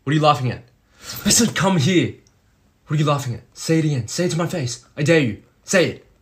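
A man's voice: medium pitch, expressive, brisk, slightly rough. Guy acting as a possessive mafia boyfriend, sweet baby talk for his girl, menacing w everyone else, roleplay skit. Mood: furious, threatening. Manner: switches between sugary baby talk and cold threats. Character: possessive crime boss boyfriend, menacing to others, doting on his girlfriend.

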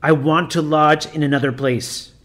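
Demon in an audiobook: a man voicing a loud, growling fiend, slow and defiant, voice sits fairly high. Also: fairly even intonation, lightly acted. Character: snarling defiant male demon possessing a boy. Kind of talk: audiobook reading.

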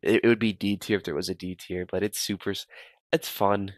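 Young male reaction host, low register, dry close sound, rambling and repetitive delivery. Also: fairly even intonation, fairly quick, dry recording. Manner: rambling, repeats phrases. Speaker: host ranking horror videos. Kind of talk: casual reaction commentary.

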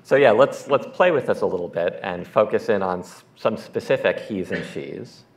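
A man's voice: lowish voice, lively intonation, normal speed. A man giving a casual keynote demo in a lecture hall, with wry asides. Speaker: conference keynote speaker. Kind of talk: live demo presentation.

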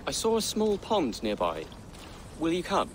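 A young man playing an earnest, gentle young nobleman in a game cutscene. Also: fairly high pitch, wildly swooping pitch, a little slow, slight background noise. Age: young adult. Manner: earnest, hopeful, softly spoken. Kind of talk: video game cutscene acting.

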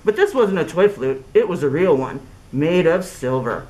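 A middle-aged woman narrates a children's picture book. Her delivery is expressive and deliberate, with lively swings in pitch. She speaks through a cloth mask in a small indoor room. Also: poor audio. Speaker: children's story reader. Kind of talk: read-aloud storybook narration. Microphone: camera a few feet away, speaking through cloth mask.

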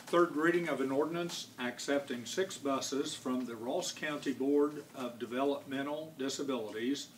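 An American man working as a council clerk, formally reading out official business. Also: mid-range voice. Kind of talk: formal reading of ordinances and roll call. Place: wood-paneled council chamber. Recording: distant room microphone, faint background noise.

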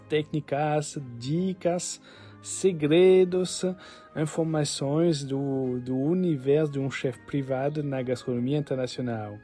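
A man in his forties with a French accent, a private chef telling his life story to a phone held at arm's length outdoors, speaking slowly with many "eh" pauses. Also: fairly high pitch, dark tone. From France. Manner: slow and halting, with frequent "eh" pauses. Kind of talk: personal story to camera. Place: outdoors by a stone wall with plants. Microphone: phone held at arm's length, selfie framing.

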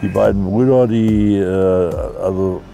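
Halting middle-aged man, outdoors. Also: fairly low pitch, fairly even intonation, vocal fry, dark tone, faint music behind. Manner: halting, many fillers. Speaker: event organizer. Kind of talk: casual interview. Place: outdoors at a woodcarving site.

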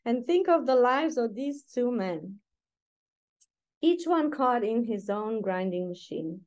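A middle-aged woman from Romania with a Romanian accent, reading her poetry aloud at a deliberate pace over a video call from home and adding short asides between poems. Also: on the high side, lively intonation, a little mellow. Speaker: poet. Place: room at home, indoors.

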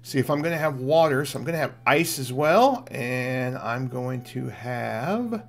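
A man's voice: medium pitch, lively intonation, a little slow, creaky. A middle-aged man doing casual gameplay commentary, thinking aloud and chatting informally to viewers. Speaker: game streamer.

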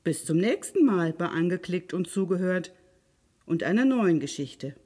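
A woman hosting and reading stories for children, expressive and playful, speaking directly to the listener. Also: on the low side, moderate pace, husky. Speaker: library storyteller and host.

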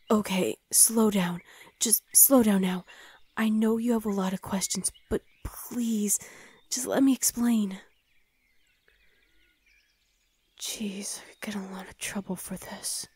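A woman playing a flustered, gentle young demon hell knight in an intimate ASMR roleplay, hesitant and soothing, often slipping into a breathy whisper. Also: mid-range voice, steady tone, measured pace, dry recording. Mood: hushed, pleading.

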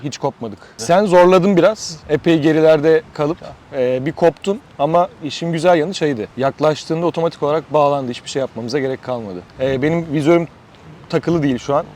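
A man's voice: a little high, fairly quick, a little mellow. A man giving his opinion as a rider in a casual, informal interview. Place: outdoors at a windy roadside stop. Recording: outdoor noise, light wind.

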